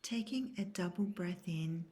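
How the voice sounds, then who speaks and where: A woman guiding a scripted yoga nidra meditation in a soft, breathy, near-whispered voice, calm and measured. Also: lowish voice, slight background noise. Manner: calm, measured, soothing instruction. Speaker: guided meditation instructor.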